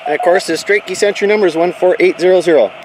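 A man's voice: sits high, very fast. A middle-aged man from Canada giving casual explanatory commentary as an amateur radio operator outdoors. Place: outdoors in a yard at a wooden table. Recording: very noisy outdoor recording, radio Morse tones. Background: outdoor noise, radio static and Morse beeps.

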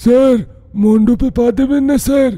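A man playing a timid, deferential young engineer in an animated horror story, anxious and respectful. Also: extremely high pitch, moderate pace, soft background music. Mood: fearful warning.

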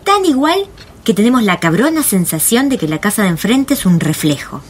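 An actress playing an anxious, indignant suburban neighbour in a radio drama, with an Argentine accent and a wry, fretful, theatrical delivery. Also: female voice, mid-range voice, sing-song, fairly quick, husky. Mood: agitated, sardonic.

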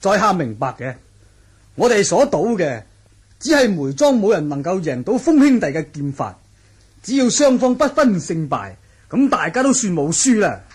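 Courteous, canny sidekick, Hong Kong Cantonese, high husky voice, radio drama. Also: male voice, very animated, moderate pace, darkish tone, exaggerated voice. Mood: deferential. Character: polite, shrewd companion of the swordsman, man. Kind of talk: 1980 radio drama acting.

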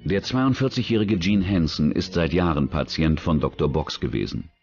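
A man's voice: low voice, moderate pace, darkish tone, lo-fi sound. A man narrating a true-crime documentary from a script. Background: background music.

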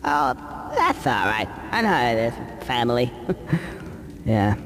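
An actor playing a cheeky cartoon squirrel hero in a video game, casual and wry, with a hesitant, flippant, wary way of talking. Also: male voice, mid-range voice, big pitch swings, a little slow, noisy background. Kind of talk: video game cutscene acting.

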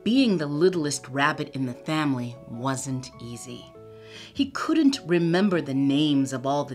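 A woman's voice: low-pitched, fairly bright tone. An older woman reading a children's story aloud expressively, with asides to young listeners, indoors on a webcam. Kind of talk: children's read-aloud narration. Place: indoor room with bookshelves.

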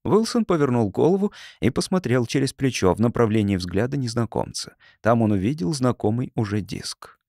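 A man's voice: medium pitch, very animated, normal speed, few pauses, dark tone, no echo, clean studio sound. A man narrating a science fiction audiobook from a script.

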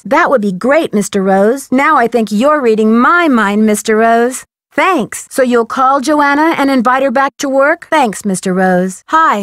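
A young female sleuth in a mystery video game. Her voice is high and dry, close with no room around it. She speaks politely and earnestly, phrasing everything clearly, with an inquisitive temper. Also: fairly bright tone, no echo. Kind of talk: scripted video game dialogue.

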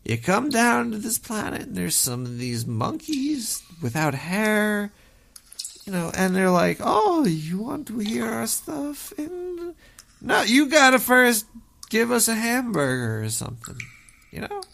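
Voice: sing-song, unhurried, vocal fry, fairly bright tone, exaggerated voice. A performer voicing a paranoid cartoon rat who hosts a radio show. The rat has a stuffy nose and rambles and stammers. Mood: rambling. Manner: rambling, stammering, swings wildly in pitch. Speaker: host taking calls and playing music. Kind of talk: comedic radio show chatter.

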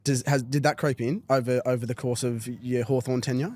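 A young man with an Australian accent puts questions in a relaxed, casual interview style. His voice is mid-pitched and recorded close and dry on a studio microphone. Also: a little fast, dry recording. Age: 20s. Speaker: podcast interviewer. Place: small indoor podcast studio. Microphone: close broadcast mic on boom arm.

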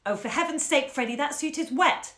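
A woman's voice: mid-range voice, big pitch swings, normal speed, fairly bright tone. A woman voicing a busy, exasperated mother who scolds sharply and impatiently. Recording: roomy echo.

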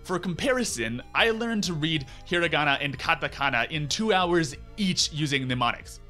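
A man in his twenties hosts a comedic review with open sarcasm and exasperation. His bright, forceful voice moves through lively, exaggerated intonation. It is recorded close at a desk microphone, with faint music behind it. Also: a little high, normal speed. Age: 20s. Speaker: YouTube reviewer, host. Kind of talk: scripted comedic review commentary. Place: small room at home, indoors.

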